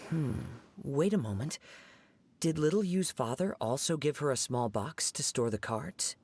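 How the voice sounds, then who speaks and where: Calm, gentle young hero, mid-pitched. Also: male voice, slightly rough. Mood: pensive. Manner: measured, gentle, reflective. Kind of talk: video game cutscene acting.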